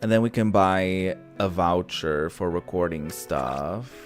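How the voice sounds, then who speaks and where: A man's voice: sits low, takes it slowly, soft background music. A man giving casual, chatty let's-play commentary in a playful, campy manner, reading bits of dialogue aloud. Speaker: video game streamer.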